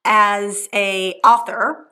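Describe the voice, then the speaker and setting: A woman's voice: mid-range voice, steady tone, extremely slow, bright, forceful tone, clear, resonant tone. A woman hosting an interview over a video call, encouraging her guest with frequent agreement. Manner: encouraging, frequent "yeah" backchannels. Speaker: host. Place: room at home. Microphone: podcast microphone on a video call.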